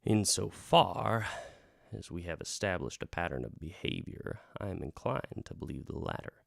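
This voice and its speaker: A man storytelling in the persona of a travelling bard, theatrical and wry, dropping toward hushed tones and swelling for emphasis. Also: low-pitched, animated, moderate pace, creaky, no echo, clean studio sound. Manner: theatrical, hushing to near whispers and swelling for emphasis; wry, conversational asides to the listener. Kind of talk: dramatic folk-tale storytelling, part radio drama.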